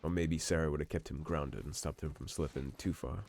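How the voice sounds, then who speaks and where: A man giving relaxed, musing commentary over a game playthrough, his voice slightly hoarse. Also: a bass voice, brisk, slight background noise. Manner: relaxed, musing, reads in-game notes aloud. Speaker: game streamer. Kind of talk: let's play commentary.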